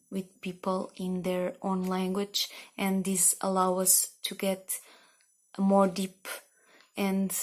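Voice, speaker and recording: A young woman with a Portuguese accent, a Portuguese native speaker, talking about her translation work in a slow, halting way while she searches for words. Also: medium pitch. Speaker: research project translator. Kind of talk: recorded project message. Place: indoor room.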